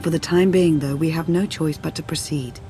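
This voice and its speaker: An actress playing a cautious, loyal female adviser in a video game, with measured, formal delivery. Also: sits low, steady tone, warm tone. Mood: concerned, guarded. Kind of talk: video game cutscene acting. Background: music.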